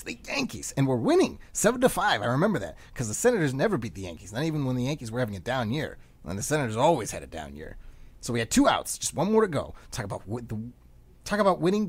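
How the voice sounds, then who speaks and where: A man acting in a comic radio play as a frantic, rambling middle-aged man under arrest, excitable and often interrupting himself. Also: a little high, breathy edge, heavily acted.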